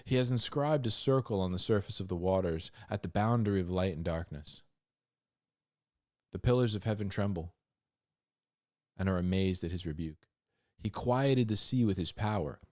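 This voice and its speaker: Low-voiced man giving casual Bible commentary. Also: no echo, studio quality. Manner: colloquial, slangy, animated asides. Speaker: host of a Bible reading show.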